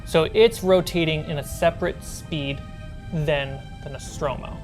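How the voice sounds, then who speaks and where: A low-pitched woman acts as game master for a tabletop role-playing session. She explains the scene step by step, with music playing behind her. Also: a little slow. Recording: background music under the voice.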